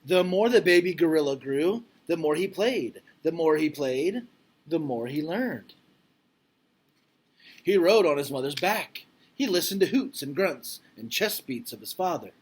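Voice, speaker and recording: A man reading a picture book aloud to children like a teacher, expressive and deliberate, with informal explanatory asides. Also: a little high, breathy edge.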